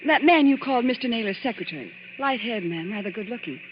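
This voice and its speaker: An actress playing an anxious, inquisitive young fiancée in 1940s radio drama style. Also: female voice, fairly high pitch, animated, quick pace, close to an everyday voice. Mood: nervous. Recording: very noisy muffled old radio recording.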